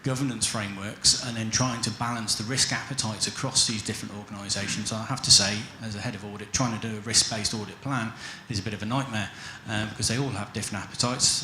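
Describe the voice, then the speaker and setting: A man's voice: on the low side, pushed and bright, reverberant, a little hiss or hum. A man introducing himself on a conference panel, modest and rambling, with frequent ums and uhs. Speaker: head of internal audit, panelist. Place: conference hall.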